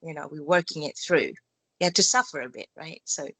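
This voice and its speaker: A woman with a fairly low voice speaks calmly and reflectively, in loose second-language English with spiritual phrasing. She was born in Malaysia. The sound is dry and close, with no room echo. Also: fairly quick, slightly rough. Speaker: skin expert interviewee. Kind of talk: reflective casual interview talk.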